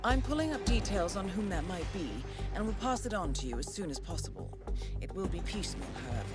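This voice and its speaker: An actress playing a composed, formal intelligence handler who gives measured, precise mission briefings in a video game. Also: female voice, mid-range voice, sing-song, natural voice. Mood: composed, businesslike. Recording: game cinematic with loud music bed. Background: loud music.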